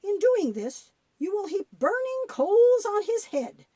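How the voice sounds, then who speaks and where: A woman's voice: very high-pitched, big pitch swings, slow, warm tone, dry recording, exaggerated voice. A woman playing a kindly, folksy grandmother who patiently tells Bible stories in a children's audio drama. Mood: gentle, earnest.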